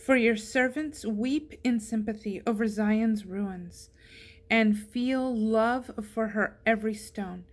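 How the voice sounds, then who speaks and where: A woman's voice: fairly high pitch, slow pace. A woman reading a psalm aloud as a scripture reader.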